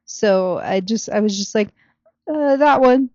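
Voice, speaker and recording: A woman's voice: mid-range voice, measured pace, darkish tone, dry recording, lo-fi sound. A young American woman co-hosting a podcast in informal, casual chat, often saying "like" and letting sentences trail off. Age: young adult. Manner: informal, heavy use of "like", trailing off. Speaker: podcast co-host.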